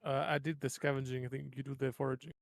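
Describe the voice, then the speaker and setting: A man's voice: medium pitch, little pitch movement, fast talker, gravelly, no room sound. A man chatting casually as a player at a tabletop game.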